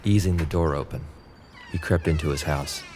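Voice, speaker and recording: A man narrating an audio drama in a low, steady voice. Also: normal speed, a little hiss or hum. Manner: low steady narration, pushier and louder in Nate's lines. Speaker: narrator and actor playing Nate.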